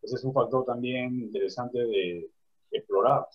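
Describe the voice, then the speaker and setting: A man's voice: medium pitch, unhurried, husky, dull audio. A man talking reflectively in a panel discussion over a video call, often restarting his phrases. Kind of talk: casual discussion.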